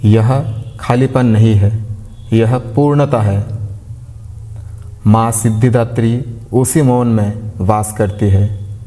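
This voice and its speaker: A man leading a devotional guided meditation, giving gentle, unhurried instructions in short phrases with pauses between them. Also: fairly low pitch, steady tone. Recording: long reverb, like a large hall.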